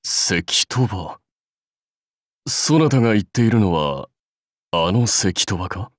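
Samurai warlord, game role: commanding, dignified, archaic diction, unhurried delivery, slightly low register. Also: male voice, lively intonation, no room sound, studio quality. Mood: measured, bemused. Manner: stately, archaic lordly speech. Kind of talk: Japanese mobile game character voice lines.

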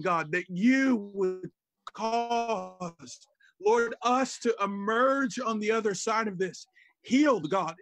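A man's voice: high-pitched, a bit bright, dry recording. A man praying aloud fervently, peppering nearly every phrase with repeated appeals to God. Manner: fervent, constantly interjecting "God" and "Lord". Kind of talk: extemporaneous prayer.

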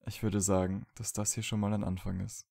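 Audio drama: wounded, stubborn young wizard male actor, dark mellow tone, dry recording, earnest and colloquial. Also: lowish voice, flat delivery, fairly quick, creaky, no echo. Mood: gentle, reassuring. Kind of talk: fan audio drama acting.

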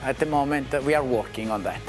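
A man's voice: medium pitch, quick pace, music in the background. A man with an Italian accent explaining safety tests in an indoor test lab, hesitant with many fillers. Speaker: company representative. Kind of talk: explaining on a factory tour.

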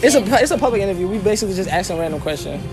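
A man's voice: high-pitched, expressive, racing. A young man hosting casual street interviews, slangy and teasing in the way he talks. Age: young adult. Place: indoor public shopping mall. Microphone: phone held near the mouth. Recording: busy mall noise, background music.

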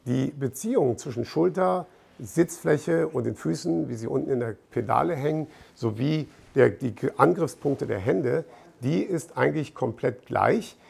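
A middle-aged man explaining fitness equipment to camera in an informal, deliberate way, as an expert presenter. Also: medium pitch, animated, gravelly, a little mellow, slightly rough. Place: bright gym showroom indoors with large windows.

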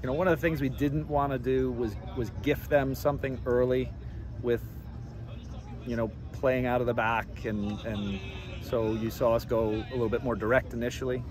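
A middle-aged man, a head soccer coach, answering reporters after a game outdoors at night, measured and repeating words as he thinks. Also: mid-range voice, steady tone, darkish tone, soft background music. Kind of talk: postgame press interview. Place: outdoors by a stadium track at night.

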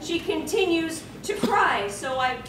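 Young female panel host, very high voice, slow theatrical delivery, giggly asides, recorded far off in an echoey hall. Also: animated. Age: young adult. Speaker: fan panel host reading fan fiction. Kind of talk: dramatic fan fiction reading with joking asides. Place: convention panel room stage. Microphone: far camera. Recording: distant camera in echoey noisy hall.

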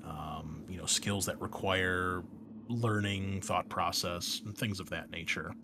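A man with a fairly low voice gives a casual, informal explanation of game rules. He speaks directly to the listener like a host walking a newcomer through things. There is some faint noise behind his voice. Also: steady tone, slow. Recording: noticeable background noise.

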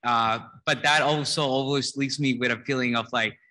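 A man in his thirties from Costa Rica, a designer on a conference panel, speaking relaxed and frank into a handheld mic. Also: mid-range voice, moderate pace. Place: conference stage. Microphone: handheld microphone.

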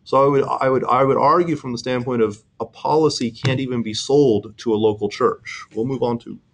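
A middle-aged man walks through insurance points in a webinar at a mid pitch. He explains earnestly and often restarts his sentences with "um". It is a steady, plain presentation voice. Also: brisk, creaky.

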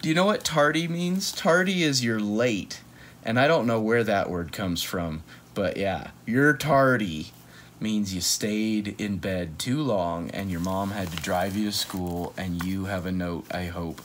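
A man's voice: fairly low pitch, measured pace. An American man reading a picture book aloud to kids in a casual, playful way with joking asides.

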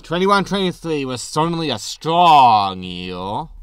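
A man's voice: fairly high pitch, big pitch swings, takes it slowly, very noisy. A man playing a harried, wry spaceship captain with a rambling manner in a science fiction comedy audio drama. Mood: wry, uneasy.

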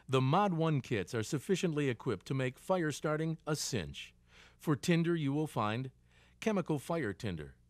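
Male instructional narrator, lively pitch, dry studio. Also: no room sound. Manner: deliberate, clearly segmented instructional delivery. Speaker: training film narrator.

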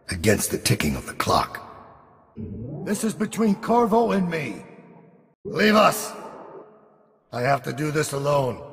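A man playing a brooding, world-weary middle-aged assassin in a video game, with a gravelly voice and a grim, deliberate, menacing manner. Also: lowish voice, heavily acted. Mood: defiant, taunting. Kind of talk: video game voice acting, monologue and combat lines. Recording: long reverb, music underneath.

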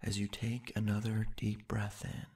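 A man softly narrating a sleep hypnosis meditation in a soothing, hushed, breathy voice with long, unhurried pauses. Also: fairly low pitch, monotone.

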